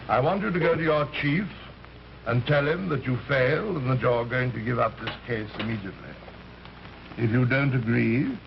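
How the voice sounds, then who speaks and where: Genteel, creaky-voiced alien gentleman. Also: mid-range voice. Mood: politely menacing. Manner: polite, pompous, hesitant, rambling with false starts. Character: urbane, genteel alien recruiter posing as an Earthman. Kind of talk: old-time radio drama acting. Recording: muffled, hissy old radio recording.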